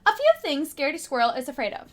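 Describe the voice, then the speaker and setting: A woman teacher reading a children's picture book aloud with dramatic emphasis and hushed asides to young listeners. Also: high-pitched, big pitch swings, fast, a little hiss or hum.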